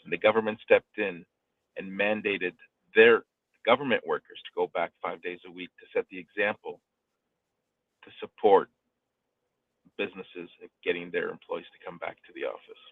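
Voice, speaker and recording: A man explaining things in casual talk with measured, deliberate phrasing, heard through thin band-limited audio. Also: low-pitched, fairly even intonation, no room sound, phone-quality sound.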